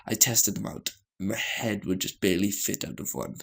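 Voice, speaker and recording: A man reading a visual novel story aloud as a gaming host, in a halting way with stumbles and repeated phrases. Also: lowish voice, steady tone, creaky, fairly bright tone.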